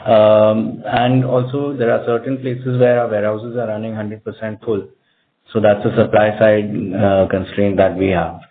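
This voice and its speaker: Low-voiced man on a phone line. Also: little pitch movement, normal speed. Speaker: company executive. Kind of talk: earnings call answers. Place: conference call. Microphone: telephone. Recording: tinny narrow-band phone line.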